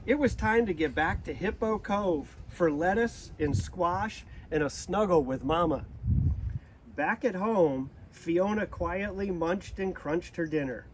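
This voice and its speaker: Male storybook reader, high-pitched and unhurried, deliberate delivery, faint background noise. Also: low-quality recording. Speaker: zoo director reading a storybook. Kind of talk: children's storybook read-aloud.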